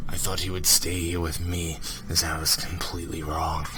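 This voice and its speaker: A man hosting a comic fanfiction readalong, narrating theatrically and often dropping into breathy whispers. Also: low-pitched, flat delivery, normal speed, over music. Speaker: reader and host. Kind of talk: comic dramatic fanfiction readalong among friends. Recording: noisy home mic with clicks.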